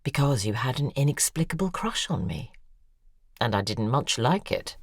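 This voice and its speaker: A woman's voice: extremely low pitch, big pitch swings, moderate pace, no echo, exaggerated voice. An actress playing a cold, haughty woman in an audio drama, speaking in an articulate, disdainful and cutting way. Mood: haughty scorn. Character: cold, haughty older woman, scornful former object of a crush. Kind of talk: audio drama acting, reading out emails.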